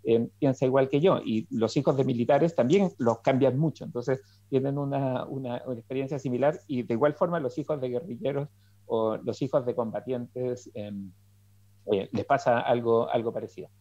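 A man's voice: mid-range voice, moderate pace, mellow, no echo. Middle-aged man, reflective guest; dull, dry call audio. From Chile. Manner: reflective storytelling with many fillers and restarts. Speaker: political scientist guest. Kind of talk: interview over video call. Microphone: video call headset.

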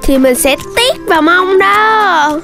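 High-voiced sensible young rabbit. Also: expressive, takes it slowly, over music, full character voice. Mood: earnest, bossy. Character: sensible, bossy young rabbit child, cautious and caring. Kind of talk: children's cartoon dubbing in Vietnamese.

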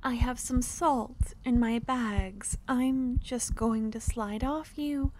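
A woman performs a shy, lonely young witch with a fairly high, breathy voice. She talks slowly and tenderly in an ASMR fantasy roleplay, hesitating and slipping toward whispers. The close-mic recording carries some background noise. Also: steady tone. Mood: gentle, determined. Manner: soft, breathy, hesitant, often dropping to a whisper. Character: shy, kind-hearted, lonely young witch, rambling and smitten. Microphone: close ASMR microphone.

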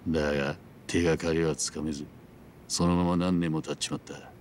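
Very deep-voiced, grave yakuza man. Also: level tone, a little hiss or hum. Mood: grave, matter-of-fact. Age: middle-aged. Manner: measured, rough masculine gangster speech. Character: middle-aged yakuza man, grave, world-weary, coolly recounting past. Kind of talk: dramatic video game dialogue. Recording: music behind the voice.

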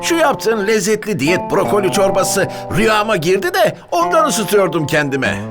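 A man's voice: sits high, big pitch swings, normal speed, music in the background. A man voicing a playful, sheepish, food-loving father in a children's cartoon, comic and dramatic. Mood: sheepish joking. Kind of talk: animated children's cartoon acting.

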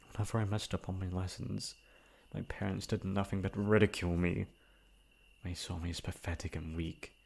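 A man playing a remorseful, self-loathing young prince in a formal, halting audio roleplay monologue. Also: low-pitched, level tone, gravelly, darkish tone. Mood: ashamed, self-loathing. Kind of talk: dramatic ASMR roleplay monologue.

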